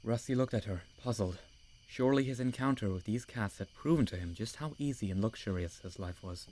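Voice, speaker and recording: Male narrator for an animal adventure audiobook, fairly low pitch, scripted storytelling read. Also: fairly quick, warm tone.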